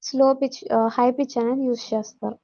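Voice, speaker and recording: Woman, Telugu Indian accent, lecturer, mellow and creaky, explanatory delivery, hesitant pauses, muffled lo-fi recording. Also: on the high side, fairly even intonation. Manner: switches between Telugu and English terms. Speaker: teacher giving a communication skills lesson. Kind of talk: explanatory lecture, reading out points then explaining. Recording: dull lo-fi audio.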